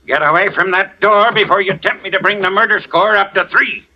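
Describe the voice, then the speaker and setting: A man's voice: high-pitched, brisk, natural voice. An actor playing a blunt, tough-talking male sheriff in a 1940s radio drama. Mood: gruff. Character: gruff impatient small-town male sheriff. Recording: dull lo-fi old radio recording.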